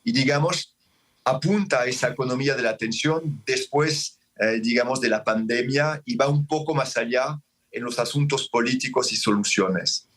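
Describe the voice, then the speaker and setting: A man's voice: medium pitch, fairly bright tone. A man whose first language is French, speaking Spanish with a French accent, explaining his book at length as a guest on a remote link. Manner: explanatory, measured, with self-corrections. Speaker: author guest. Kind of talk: interview over a remote link.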